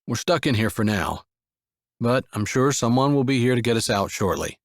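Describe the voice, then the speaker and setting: A man doing a steady dad, calm, reassuring, lively intonation, dry sound. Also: medium pitch, normal speed, dry recording, natural voice. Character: steady, calm father reassuring his kids.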